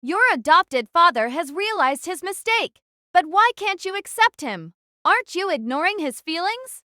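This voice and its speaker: Young female platoon captain, acted by a woman: forceful, pleading, bright and high-pitched, audio drama style. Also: big pitch swings, moderate pace, no echo, studio quality, exaggerated voice. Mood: insistent, reproachful.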